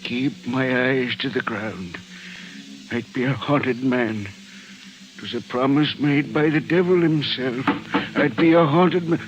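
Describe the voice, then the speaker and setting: An actor playing a guilt-ridden old railway man in an old-time radio mystery, halting and brooding. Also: mid-range voice, natural voice. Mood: haunted. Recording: dull, noisy vintage radio recording.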